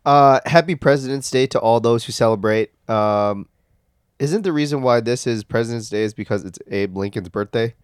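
A man's voice: mid-range voice, moderate pace, clean tone, no echo, clean studio sound. A man co-hosting a podcast in casual chat, sounding stuffed up, laid-back and wry. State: stuffed up, congested. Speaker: podcast co-host.

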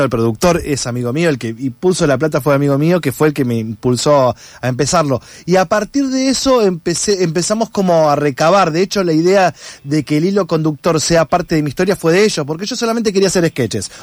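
Higher-voiced Argentine man, dry close sound. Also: a little mellow, no room sound. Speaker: interviewed actor and content creator. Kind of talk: conversational interview answers.

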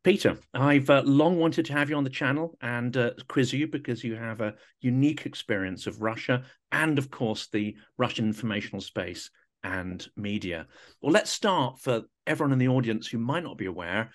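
A man's voice: mid-range voice, normal speed. Man host reading a formal podcast intro. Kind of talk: reading a prepared introduction, then interviewing.

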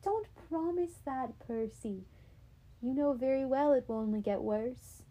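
A woman's voice: high-pitched, moderate pace, mellow, lightly acted, low-quality recording. A woman voicing a loving, frazzled mother who frets over her son. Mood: tender.

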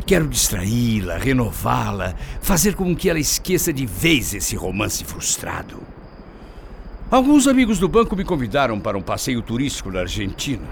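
A man's voice: on the low side, vocal fry, a little hiss or hum. An actor playing a middle-aged scheming father who feigns devoted concern, with a Brazilian accent and a solemn, declamatory radio drama delivery.

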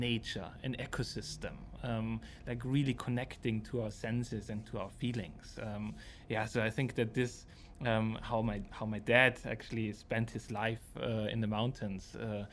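A man's voice: fairly low pitch, fairly even intonation, normal speed, a little hiss or hum. A man with an Austrian accent and German as his first language, talking reflectively as an architect being interviewed.

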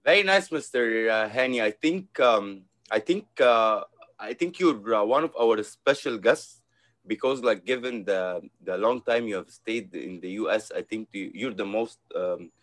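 A man's voice: lowish voice, lively intonation, a little slow, a bit bright. A man from Egypt hosting a podcast interview, speaking hesitantly with many fillers and restarts. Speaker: podcast host. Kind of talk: casual interview.

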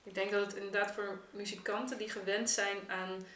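A woman with a bright, clear-edged voice thinks aloud in relaxed conversation, rephrasing along the way. She sounds a little away from the microphone in a noticeably echoey room. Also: mid-range voice, fairly quick. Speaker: theatre maker in a podcast discussion. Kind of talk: casual reflective conversation. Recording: echoey room, distant from the mic.

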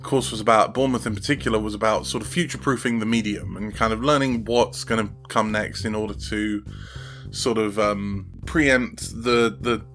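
A man's voice: fairly low pitch, steady tone, normal speed, a bit bright, soft background music. A man chatting casually as an interview guest about his creative work, hesitant and often circling back to rephrase as he thinks aloud.

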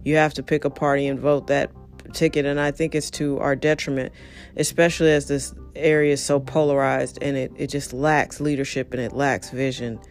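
A hoarse voice, worn down and close to giving out, rants in a blunt and exasperated way. The talk is an unscripted monologue addressed straight to the listener. Soft music runs quietly underneath. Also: mid-range voice, flat delivery, normal speed. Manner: frustrated, blunt, ranting. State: hoarse, losing voice. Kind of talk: casual spoken monologue.

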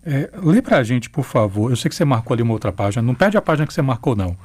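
A man's voice: mid-range voice, big pitch swings, very fast, a little mellow, husky. A man, chatting casually as an interviewer.

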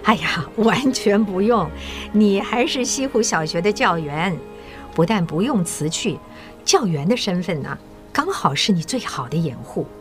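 An actress playing a middle-aged female school principal who secretly recruits agents, speaking in a measured, coaxing and kindly authoritative way in an audio drama. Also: mid-range voice, sing-song, faint music behind. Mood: reassuring. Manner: measured, coaxing, elder's patient tone.

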